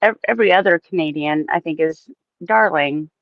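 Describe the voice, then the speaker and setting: A woman's voice: low voice, moderate pace, dry recording. A woman in casual, playful banter as a guest on a stream, over a slightly lo-fi voice call.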